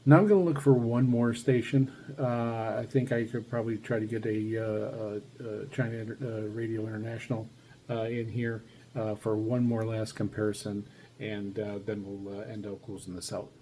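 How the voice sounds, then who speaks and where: A man giving a casual hands-on demonstration, talking haltingly as he thinks aloud while handling gear. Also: lowish voice, little pitch movement, warm tone. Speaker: radio hobbyist host. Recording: faint background noise.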